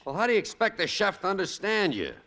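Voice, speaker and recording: A scolding diner boss. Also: male voice, sits high, very animated, quick pace, bright, forceful tone, slight background noise, heavily acted. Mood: exasperated. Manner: scolding, exasperated. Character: gruff male diner owner, boss.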